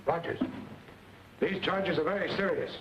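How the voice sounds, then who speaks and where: An actor playing a stern, proud middle-aged army colonel with a formal, authoritative manner in an old western. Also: male voice, a little high, fairly even intonation, normal speed, natural voice. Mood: stern, official. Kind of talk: old-time western film acting. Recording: noisy, muffled vintage western soundtrack.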